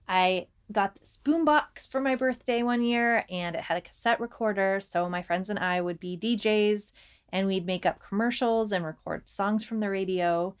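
A woman's voice: medium pitch, normal speed, clean tone. Woman co-hosting a conversational podcast. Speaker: podcast co-host and writer.

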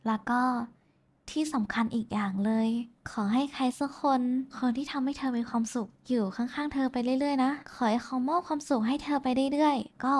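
A woman's voice: on the high side, a little mellow, lightly acted. A woman playing a shy, affectionate young woman in an intimate roleplay, talking casually and hesitantly to someone special. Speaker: VTuber voice actress. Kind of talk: intimate roleplay voice pack addressed to the listener.

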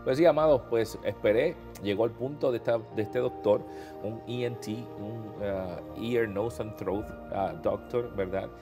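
Puerto rican pastor guy talking to his viewers, kinda nasal and stuffed up, lowish voice, music going behind. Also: measured pace. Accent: Puerto Rican Spanish. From Puerto Rico. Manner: warm, conversational, addresses viewers as beloved, frequent fillers. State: nasal after a nose procedure. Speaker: pastor hosting an online devotional show. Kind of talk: casual devotional talk to viewers. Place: indoors before a holiday backdrop.

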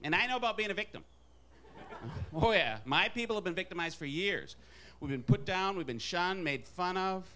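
A man from Wisconsin doing stand-up in a comedy club, with a nasal Wisconsin accent and an animated delivery full of put-on voices. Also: high voice, normal speed. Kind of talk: stand-up set. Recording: live club with crowd and noise. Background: audience laughter.

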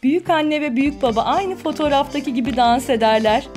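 Female narrator, high-pitched, scripted children's storytelling over soft music. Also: brisk.

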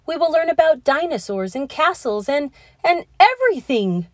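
A woman's voice: high voice, sing-song, no echo, clean studio sound, voice pushed up. A woman voicing a proud, exuberant male school principal from a children's storybook, effusive and emphatic. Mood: proud, delighted. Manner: emphatic, effusive, drawn-out stresses. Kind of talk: children's storybook character reading.

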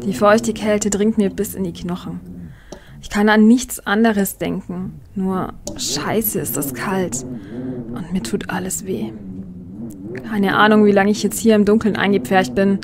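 A woman's voice: medium pitch, steady tone, unhurried, a crowd in the background, natural voice. A woman playing a desperate, exhausted young kidnapped heiress in an audio drama. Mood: exhausted, despairing. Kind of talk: audio drama monologue and acting.

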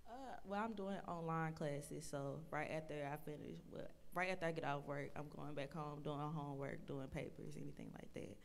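Woman, 20s, very low, gravelly, matter-of-fact, faint ambience. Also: steady tone, normal speed, hardly any pauses, slight background noise. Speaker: panel guest. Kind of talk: answering questions on a panel. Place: church sanctuary.

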